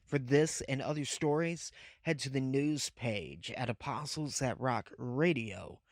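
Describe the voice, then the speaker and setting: A man's voice: mid-range voice, unhurried, dry recording. Dry-voiced male podcast host. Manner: easygoing, joking, with fillers. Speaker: podcast host and news reader. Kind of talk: casual interview chat and read news segment.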